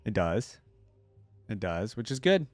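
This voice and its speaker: A man's voice: lowish voice, sing-song, unhurried, loud music behind the voice. A man giving casual reaction commentary as a host, tossing in wry, teasing asides.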